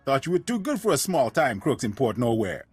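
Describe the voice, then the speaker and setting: An actor playing a mocking, excitable male small-time crook in a video game. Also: medium pitch, a little fast, husky, no room sound. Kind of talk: video game cutscene acting.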